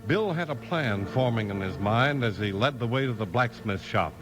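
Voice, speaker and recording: A man with an American accent announcing in emphatic, deliberate 1950s radio style, reading scripted copy. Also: medium pitch, expressive, over music. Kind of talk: 1950s radio commercial and scripted story narration.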